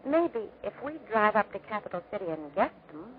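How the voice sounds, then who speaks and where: An actress playing a middle-aged, gently scheming wife and mother in 1940s radio drama, with a tactful, coaxing manner. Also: medium pitch, big pitch swings, a little fast, lightly acted. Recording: noisy, tinny 1940s radio recording.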